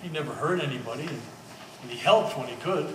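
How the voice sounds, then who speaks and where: A man's voice: medium pitch, natural voice. Man playing an earnest, plain-spoken man, echoey hall. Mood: reproachful. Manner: halting, with repeated words and trailing starts. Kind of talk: one-act stage play performance. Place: art gallery, live staged reading. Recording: echoey gallery hall, distant mic, hiss.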